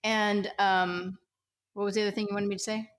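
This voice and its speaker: A woman's voice: mid-range voice, little pitch movement, fairly quick. A woman speaking casually and off the cuff as a player in a tabletop role-playing game. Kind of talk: casual, improvised character description.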